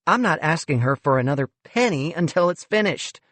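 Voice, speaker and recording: A man's voice: fairly high pitch, animated, brisk, a bit bright, no room sound, pitched above the performer's own voice. A man voicing an irritable female fundraising chief who talks bluntly and sneers. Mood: curt irritable.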